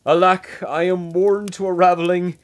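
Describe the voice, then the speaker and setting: A man's voice: high voice, flat delivery, normal speed, lightly acted. An actor playing a poor, worn-out old tailor who wails his troubles in a fretful voice. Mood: despairing. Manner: fretful, wailing laments.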